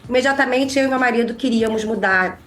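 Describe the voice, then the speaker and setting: A woman's voice: on the high side, fast, fairly bright tone, faint music behind. A woman with a Brazilian accent chatting casually as an interview guest, her voice slightly nasal and congested from a cold. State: mild cold. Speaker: podcast guest, immigration lawyer. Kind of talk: casual chat.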